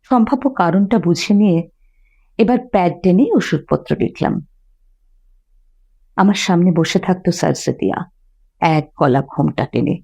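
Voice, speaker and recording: A woman narrating a literary audio drama expressively, with deliberate dramatic pauses. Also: lowish voice, lively intonation, creaky, dark tone, breathy edge, dull audio.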